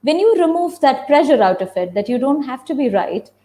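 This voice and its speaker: A woman's voice: high-pitched, big pitch swings, a little fast, warm tone. Emphatic woman explaining on a webinar. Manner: emphatic, repeats key phrases. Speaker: guest theatre practitioner.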